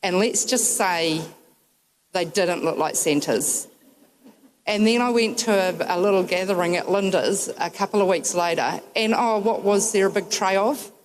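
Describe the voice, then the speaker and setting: A woman's voice: medium pitch, measured pace, a little hiss or hum, poor audio. A woman with a New Zealand accent giving a memorial tribute, telling wry, humorous anecdotes with frequent ums. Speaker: eulogy speaker.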